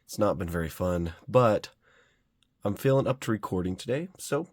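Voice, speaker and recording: A young man with a slightly raspy voice from a cold, casually reviewing diecast model cars in a relaxed, rambling way. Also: fairly low pitch, normal speed, no room sound. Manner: relaxed, chatty, rambling with fillers.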